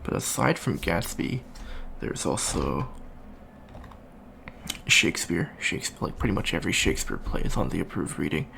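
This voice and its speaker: A man playing an awkward, bookish young alien college student in an ASMR roleplay, talking softly and hesitantly with many ums and stammers. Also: deep voice, sing-song, normal speed, creaky, slight background noise, full character voice. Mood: bookish, rambling. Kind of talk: soft-spoken ASMR roleplay.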